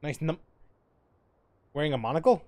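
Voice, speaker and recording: A man giving casual, off-the-cuff game commentary as a streamer, blunt, slangy and excitable. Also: fairly high pitch, moderate pace, no echo. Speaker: gaming streamer.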